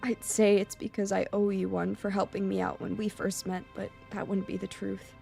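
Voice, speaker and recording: A woman's voice: mid-range voice, level tone, moderate pace, creaky, warm tone, faint music behind. A woman voicing a devoted, supportive teenage boy in love, speaking sincerely and insistently in a visual novel. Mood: tender, sincere.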